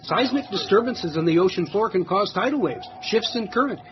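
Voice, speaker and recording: Radio play scientist: reasonable, explanatory, animated intonation, lo-fi muffled recording. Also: male voice, sits high, brisk, over music. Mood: calm, explanatory. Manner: explanatory, calm, mildly chiding. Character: reasonable, earnest male naval scientist and inventor. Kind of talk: radio drama acting. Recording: dull lo-fi radio recording with hiss.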